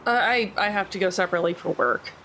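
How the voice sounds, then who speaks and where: A woman's voice: medium pitch, fast, bright, forceful tone, slight background noise. A woman, a tabletop game player improvising in-character dialogue in a casual, hesitant everyday voice.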